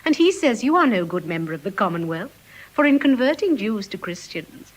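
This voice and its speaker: An actress playing a gentle, quick-witted young wife with poised, earnest diction in classical Shakespearean style. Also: female voice, mid-range voice, very animated, low-quality recording. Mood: wry teasing. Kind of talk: classical Shakespearean stage acting.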